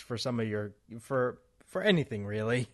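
A man's voice: mid-range voice, expressive, moderate pace, fairly bright tone. A man interviewing a guest in a casual chat, telling stories and laughing as he talks. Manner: storytelling, laughs while talking.

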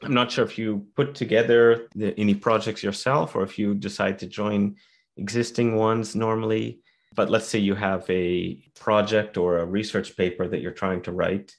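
A man with a fairly low voice hosts an interview. He asks long questions, restarting and rephrasing as he goes. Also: level tone, moderate pace. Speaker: podcast host.

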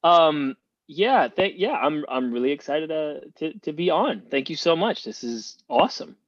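A man's voice: fairly high pitch, lively intonation, normal speed, dry recording. Cuban-born man rambling on a muffled line. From Cuba. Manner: rambling, many restarts and fillers. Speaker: podcast guest. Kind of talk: casual interview talk.

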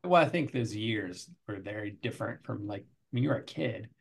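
A man's voice: on the low side, animated, moderate pace, creaky, husky. A man hosting an interview podcast, chatting casually in a friendly way that often stumbles over repeated words. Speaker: podcast host, professor.

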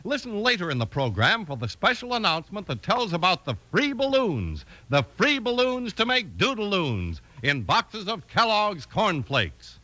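A man's voice: high voice, wildly swooping pitch, moderate pace, few pauses, pushed and bright. An American man announcing and narrating in emphatic, theatrical 1950s radio style, reading from a script. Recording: old radio transcription.

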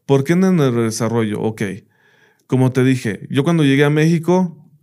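Man telling lively stories, native English speaker. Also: mid-range voice, moderate pace. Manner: lively anecdotes, fillers like "bla, bla" and "¿me entiendes?". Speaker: teacher, podcast guest. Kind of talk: casual interview storytelling.

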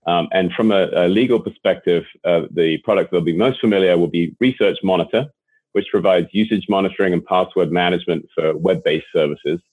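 An Englishman with a low, slightly husky voice speaks in an interview, often doubling words as he restarts his sentences. He comes through a thin, phone-like line with no room sound at all. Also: fairly quick, no echo. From England. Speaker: guest executive. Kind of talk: interview answers.

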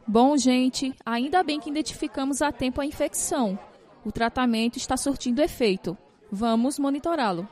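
A woman playing a nursing professor in an amateur audio drama, speaking didactically and deliberately. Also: sits high, darkish tone, some chatter behind, close to an everyday voice. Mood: relieved, composed. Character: woman nursing professor, calmly instructive with her students. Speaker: teacher. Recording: long reverberant hall echo.

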